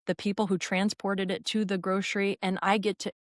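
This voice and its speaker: A woman hosting a fitness podcast, talking in an energetic, rambling, emphatic way. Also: medium pitch, a little fast, dry recording, studio quality. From raised in a military family. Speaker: podcast host and fitness trainer. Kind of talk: conversational interview.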